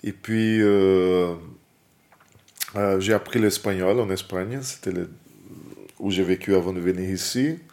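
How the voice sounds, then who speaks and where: Middle aged brazilian guy, portuguese native, lowish voice, casual interview, pauses to find words. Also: steady tone, darkish tone. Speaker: musician.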